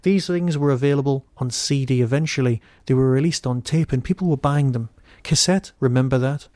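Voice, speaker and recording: A man hosting a podcast, giving an informal solo review in a wry, digressive way with emphatic pauses. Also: mid-range voice, a little mellow, no room sound, clean studio sound.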